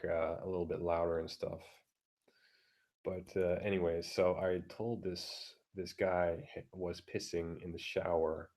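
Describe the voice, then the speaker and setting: A man with a deep voice ranting crudely and bluntly, keeping his voice down at home, halting with many fillers and restarts. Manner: keeps his voice down, talking quietly; crude, blunt, heavy swearing. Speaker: vlogger. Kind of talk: casual profane rant. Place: home room with neighbors through the walls.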